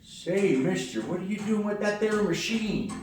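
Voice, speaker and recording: A man playing an easygoing, curious old grandfather in a live radio play, folksy and unhurried. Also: high voice, expressive. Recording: very echoey hall, distant microphone, noisy.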